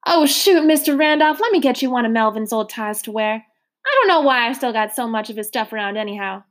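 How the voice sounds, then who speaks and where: A woman voicing a large, loud, warm-hearted older foster mother with a hearty, booming manner. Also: lively intonation, brisk.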